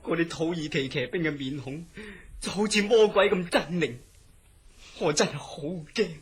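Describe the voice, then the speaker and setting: A man's voice: on the high side, normal speed, soft background music. A man playing a terrified, melodramatically pleading mental patient haunted by nightmares in a Cantonese horror radio drama. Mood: haunted dread. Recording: clearly noisy audio.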